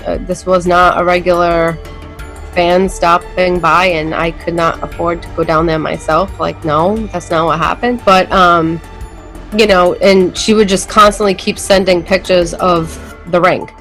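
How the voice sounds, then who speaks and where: A woman with a New England accent tells her side of things as a podcast guest, her low-set voice looping through fillers and half-finished restarts. She sounds casual and unscripted, and faint music plays quietly behind her. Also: flat delivery, unhurried. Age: adult with school-age kids. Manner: rambling, heavy on fillers and restarts. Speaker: interview guest. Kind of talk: casual storytelling in a podcast interview.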